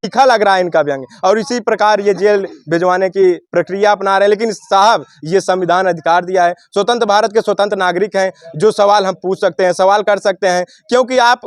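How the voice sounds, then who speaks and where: High-pitched man reporting. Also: a little fast, hardly any pauses, dry recording, clean studio sound. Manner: rhetorical, declamatory, addresses viewers as sir. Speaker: local news reporter interviewing. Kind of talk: spoken news commentary and interview.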